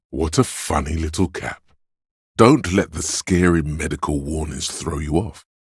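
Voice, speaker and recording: A seductive inner demon of addiction from a video game, extremely deep and husky. He narrates theatrically, coaxing and savoring each phrase with indulgent relish, close and dry. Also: no echo, exaggerated voice. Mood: sly, gleeful. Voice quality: very deep, resonant.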